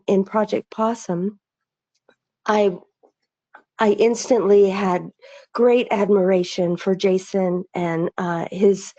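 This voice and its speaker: A woman's voice: mid-range voice, warm tone. A middle-aged woman, an aerospace engineer, speaking earnestly on a video call with many restarts and fillers. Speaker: aerospace engineer, webinar panelist. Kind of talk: reflective webinar remarks. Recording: slightly dull lo-fi call audio.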